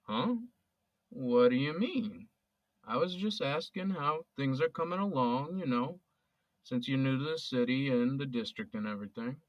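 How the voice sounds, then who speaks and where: A man's voice: mid-range voice, lively intonation, normal speed, dry recording, highs cut off, lightly acted. A man playing a young adult son with an accused father, bantering with his friend and earnest when it matters. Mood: probing. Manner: joking banter that turns earnest. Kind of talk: amateur audio drama acting.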